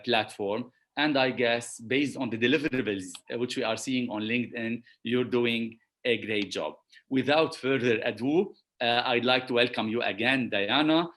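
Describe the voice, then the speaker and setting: A man's voice: mid-range voice, a bit bright. A man with a Lebanese accent hosting a live online discussion, introducing his guest with many hesitant fillers. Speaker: host.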